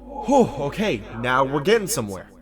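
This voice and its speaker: A man acting an amnesiac, foul-mouthed former magical fabricator in an audio drama, coaxing himself along, swinging between muttered focus and excited outbursts. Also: medium pitch, normal speed, over music, natural voice. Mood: straining, excited.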